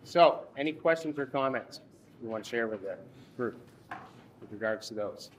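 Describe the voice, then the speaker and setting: A man in his thirties leading a workshop from a lectern in a conference room, speaking haltingly with frequent restarts. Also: mid-range voice, animated, a little hiss or hum. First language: English. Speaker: workshop instructor. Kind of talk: workshop presentation.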